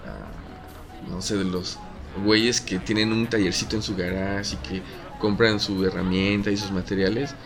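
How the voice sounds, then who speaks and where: A man's voice: lowish voice, little pitch movement, normal speed, music in the background. A man with a Mexican accent co-hosting a casual podcast chat, slangy and joking, rambling with restarts. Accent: Mexican Spanish. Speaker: podcast co-host.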